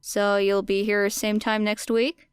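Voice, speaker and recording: A woman narrator plays a cocky young female street fighter in an audiobook. She talks bluntly and casually, like a teasing coach. The voice is clear and smooth, in a dry, close recording. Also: mid-range voice, little pitch movement, measured pace, dry recording, close to an everyday voice. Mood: breezy, teasing. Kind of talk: audiobook character dialogue.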